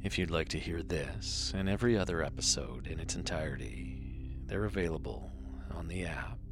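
A man hosting a sleep podcast, speaking softly with some whispered words. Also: very deep voice, moderate pace, over music. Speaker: podcast host. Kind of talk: soft sleep podcast announcement.

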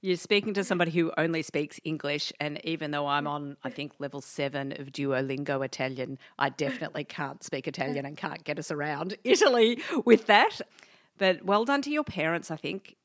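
A woman's voice: sits low, a little fast, no echo, clean studio sound. An Australian woman hosting a podcast interview, bubbly and enthusiastic. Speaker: podcast host.

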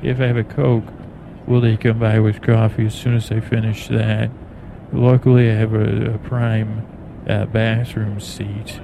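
An American man hosting a bedtime podcast, rambling in a halting, meandering, soothing way. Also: fairly low pitch. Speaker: podcast host. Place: aboard an airplane in flight. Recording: clear engine and cabin noise. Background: steady plane noise.

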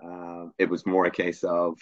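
Interview guest: middle-aged Dublin man, very low voice, halting and thoughtful, dry muffled recording. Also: monotone, no echo. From Ireland. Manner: hesitant, many fillers and restarts. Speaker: guest filmmaker. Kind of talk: reflective interview answers. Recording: muffled lo-fi sound.

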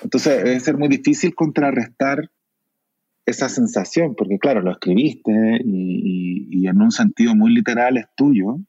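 A Chilean novelist in his forties with a Chilean Spanish accent, speaking thoughtfully, with many pauses and fillers. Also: medium pitch, dark tone, breathy edge, no echo, muffled recording. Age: 40s. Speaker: novelist guest. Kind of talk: reflective conversation.

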